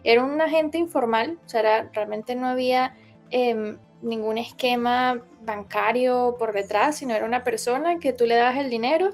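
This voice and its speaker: A woman's voice: on the high side, normal speed, soft background music. Hesitant young woman recalling her past. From Colombia, raised in Venezuela. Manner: hesitant, many fillers and restarts. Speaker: interviewee, founder. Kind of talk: interview testimony.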